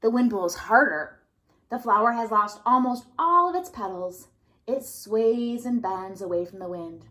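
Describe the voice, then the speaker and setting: A woman's voice: medium pitch, fairly bright tone, rough recording. A woman teacher reading a picture book aloud to young children, expressively and with simple explanations.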